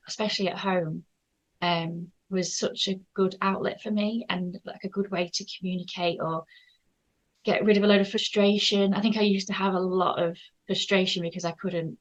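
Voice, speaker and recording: Gentle, hesitant woman. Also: fairly low pitch, highs cut off. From North Yorkshire, England. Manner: shy, gentle, hesitant with many ums. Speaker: guest artist. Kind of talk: casual interview chat.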